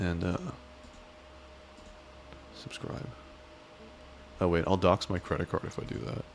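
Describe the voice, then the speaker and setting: Male streamer, very low voice, rambling self-mocking banter, faint noise under it. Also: little pitch movement, a little slow, vocal fry. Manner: rambling, joking, self-deprecating. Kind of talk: casual live-stream chat.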